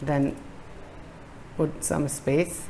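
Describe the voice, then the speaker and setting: A man giving a screen-recorded walkthrough of a form, hesitant and halting with many fillers and restarts. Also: fairly high pitch, fairly even intonation, vocal fry, mellow, slight background noise, poor audio. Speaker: tutorial presenter.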